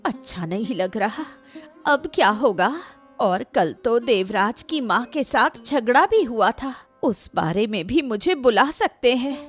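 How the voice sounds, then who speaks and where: A woman's voice: on the high side, animated, a little slow, faint music behind. A woman voicing an anxious, stubborn, complaining housewife and mother in a Hindi cartoon story. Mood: worried.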